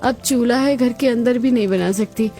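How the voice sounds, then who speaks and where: A woman's voice: fairly high pitch, moderate pace, dark tone, soft background music, close to an everyday voice. A woman playing a capable, long-suffering young daughter-in-law in a cartoon story. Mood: overheated, exasperated.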